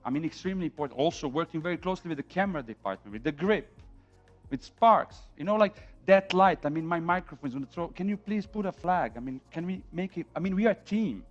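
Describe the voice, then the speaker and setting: Serbian-accented male lecturer, middle-aged, slightly high voice, animated, dull lo-fi recording with faint music. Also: fast talker. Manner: animated, acts out on-set quotes, rhetorical "yeah?" tags. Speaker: film sound instructor. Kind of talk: classroom lecture.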